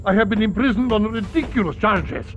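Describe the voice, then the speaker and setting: An actor voicing an eccentric, boastful alien scientist in a video game, theatrical and grandiose, with a mock Russian accent. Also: male voice, high-pitched, fairly quick, a bit bright, muffled recording, faint music behind. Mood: boastful, indignant. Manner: theatrical, grandiose, broken grammar. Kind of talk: animated video game voice acting.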